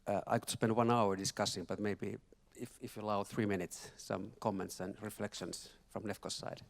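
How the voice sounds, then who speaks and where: A man speaking as a panelist at a conference workshop, talking haltingly with many repeated words and restarts. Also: lowish voice, level tone, vocal fry. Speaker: panelist from a finance institution. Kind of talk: panel discussion remarks. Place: conference hall.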